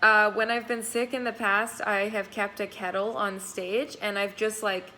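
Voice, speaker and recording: A woman's voice: medium pitch, flat delivery, normal speed, pushed and bright, poor audio. A young adult woman, a singer, chatting casually in an interview, hesitant with lots of fillers and restarts. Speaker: singer, guest.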